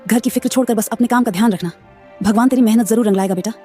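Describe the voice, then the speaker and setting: A woman's voice: a little high, racing, dark tone, faint music behind, close to an everyday voice. A woman playing a caring old mother. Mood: tender. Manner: soothing, motherly. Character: poor caring old mother, gentle and steady. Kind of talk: animated story voice acting.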